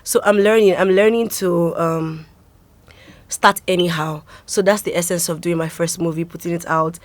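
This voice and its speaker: Low-voiced young Nigerian woman, dry close sound. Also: fairly even intonation, normal speed, no room sound. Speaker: actress, interview guest. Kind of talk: casual interview talk.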